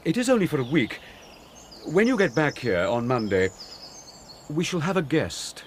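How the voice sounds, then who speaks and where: A courteous, gentle older English gentleman, speaking with polite earnestness. His voice rises and falls a lot, with a faint hiss behind it. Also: on the high side, huge pitch swings, normal speed. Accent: British. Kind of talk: radio drama acting.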